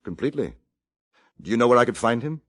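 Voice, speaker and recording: A man's voice: mid-range voice, animated, fast talker, a bit bright, dry recording. A man with an English accent, courteous and polished, narrating and acting the lead in a 1950s radio drama.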